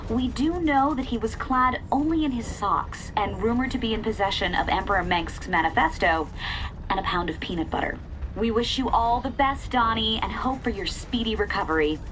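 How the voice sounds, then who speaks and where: An actress playing a polished, perky, ambitious TV news anchor in a video game's parody broadcast. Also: female voice, a little high, noisy background, lightly acted.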